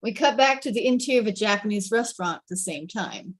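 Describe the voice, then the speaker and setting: A woman's voice: mid-range voice, expressive, fast talker, breathy edge, no echo. Woman reading stage directions, dry close sound. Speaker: table read narrator. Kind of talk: scripted stage directions read aloud.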